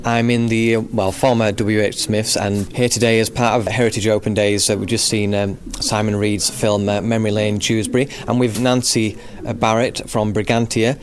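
A man's voice: on the low side, level tone, moderate pace, few pauses. A man from Leeds, presenting on community radio and asking questions hesitantly, with many fillers and restarts. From Leeds, England. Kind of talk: radio interview.

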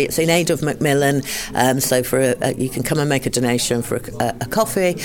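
A woman from Leeds, speaking enthusiastically and fluently as a guest on a community radio interview, with occasional restarts. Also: deep voice. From Leeds, England. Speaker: arts charity organiser.

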